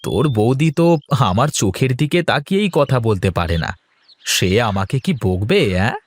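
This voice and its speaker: An actor playing a confident, easygoing village husband in a cartoon folktale. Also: male voice, medium pitch, big pitch swings, normal speed, close to an everyday voice. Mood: casual, assured. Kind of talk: Bengali cartoon folktale dialogue.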